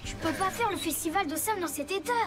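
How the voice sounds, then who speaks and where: A woman's voice: extremely high pitch, fast. A woman voicing an earnest, nervous young boy rescue motorbike in a children's cartoon dub. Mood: worried. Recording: music behind the voice.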